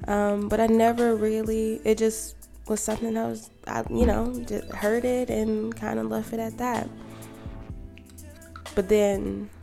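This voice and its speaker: A woman in her thirties, a podcast co-host, talking calmly and reflectively in a quiet, soft voice. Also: fairly high pitch, a little slow, creaky. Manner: calm and gentle. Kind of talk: reflective personal monologue. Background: music.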